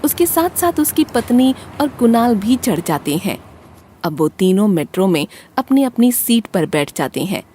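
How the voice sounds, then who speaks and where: A woman reading scripted narration for an animated storybook horror tale. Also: mid-range voice, normal speed, a little hiss or hum.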